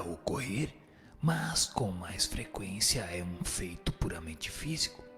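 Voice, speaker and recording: Over clear background music, a man plays a wise male spirit with a low, creaky voice. He teaches with calm, solemn authority in a measured radio-drama delivery. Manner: measured, didactic. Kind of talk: scripted spiritist radio drama, answering questions. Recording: music playing under the voice.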